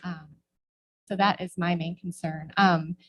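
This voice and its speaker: Middle-aged female, slightly low voice, informal public-comment delivery at a town meeting, hesitant phrasing with frequent hedges. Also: steady tone. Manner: halting, hedging, searching for words. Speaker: former board member giving public comments. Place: indoor local government meeting.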